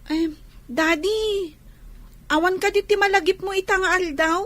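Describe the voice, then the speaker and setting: A woman's voice: extremely high pitch, fairly even intonation, measured pace, sharp tone, dry recording, heavily acted. A woman with an Ilocano accent voicing a young daughter in a radio drama. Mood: pleading. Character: young daughter asking about her father. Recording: light music underneath.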